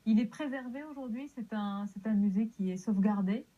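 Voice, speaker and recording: Woman, TV host, mellow, prompting questions, slightly dull audio. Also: fairly high pitch, a little fast. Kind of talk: TV interview.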